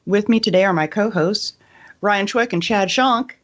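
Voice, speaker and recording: A woman's voice: medium pitch, normal speed, highs cut off. A woman hosting a podcast, delivering a scripted introduction. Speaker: podcast host. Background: music.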